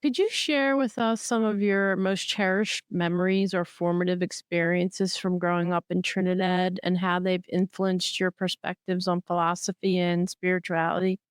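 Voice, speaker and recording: Podcast host, woman, low and even-toned, smooth, dry recording. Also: normal speed, few pauses, no room sound. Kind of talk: asking interview questions.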